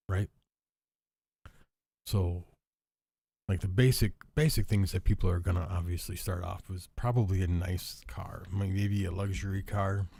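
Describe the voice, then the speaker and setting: A man hosting a casual solo talk, hoarse and stuffy after an illness, rambling and thinking aloud with lots of fillers and restarts. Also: low voice, mellow, no echo, studio quality. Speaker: show host.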